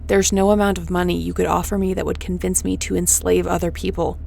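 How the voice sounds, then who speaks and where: A woman's voice: on the low side, flat delivery, fast, creaky, darkish tone. A woman playing a tough, defiant starship captain in a sci-fi audio drama, speaking bluntly and with command. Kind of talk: sci-fi audio roleplay acting. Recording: faint background noise.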